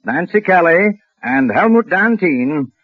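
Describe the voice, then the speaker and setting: A tinny, dry vintage radio recording of a male narrator from the 1940s. He is deliberate and theatrical, with a husky voice that rises and falls widely. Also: on the high side, expressive, dry recording. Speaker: announcer and story narrator.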